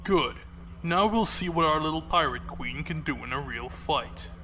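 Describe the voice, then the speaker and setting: A man playing a composed, disdainful fleet commodore in an audio drama, with a measured, formal, commanding delivery. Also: on the high side, lively intonation, bright, forceful tone, a little hiss or hum.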